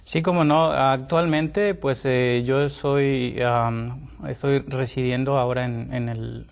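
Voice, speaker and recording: A middle-aged man from Mexico, a naturopathic doctor and former pastor, speaking politely as a TV guest with many fillers and pauses. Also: mid-range voice, steady tone, gravelly. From Tamaulipas, Mexico. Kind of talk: TV interview guest.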